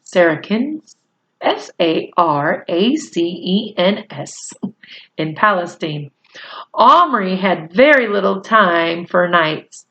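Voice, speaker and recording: A woman reading a children's novel aloud in a deliberate, measured storytelling manner. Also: fairly low pitch, very animated, a bit bright, highs cut off. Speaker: storybook reader.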